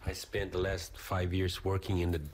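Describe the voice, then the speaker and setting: A man in his fifties from the Philippines, answering interview questions in a relaxed, conversational way with some hesitations. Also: sits low, normal speed, a little hiss or hum. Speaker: interviewee, residential manager.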